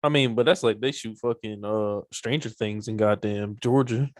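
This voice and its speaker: A young man co-hosting a podcast in casual chat, laid-back and quick to laugh. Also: fairly low pitch, no room sound, clean studio sound. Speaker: podcast co-host.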